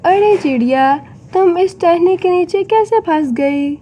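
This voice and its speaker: A woman's voice: very high-pitched, animated, a little slow, dull audio, slight background noise. A woman voicing a kindly, caring human grandmother in a cartoon story. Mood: grateful. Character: kindly human grandmother raising a bird chick.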